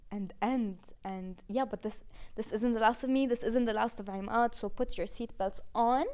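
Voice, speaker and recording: A woman in her twenties, a podcast host, talking casually and warmly about finishing a season, with frequent "like"s. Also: mid-range voice. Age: 20s. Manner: casual, chatty with many "like"s and laughs. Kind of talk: heartfelt season-finale reflection.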